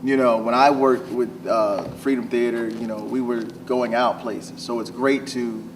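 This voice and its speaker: A man's voice: medium pitch, steady tone, normal speed. An American man, an actor chatting casually in an interview. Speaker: stage actor being interviewed. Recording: distant mic, noisy room ambience.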